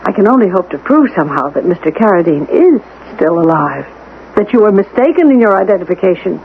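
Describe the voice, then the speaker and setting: A woman's voice: mid-range voice, very animated, fairly quick. An actress playing a composed, persistent amateur sleuth in a radio drama, speaking precisely and insistently as she challenges and probes. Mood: earnest, reassuring. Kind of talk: 1970s radio drama acting. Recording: tinny, noisy old radio broadcast recording.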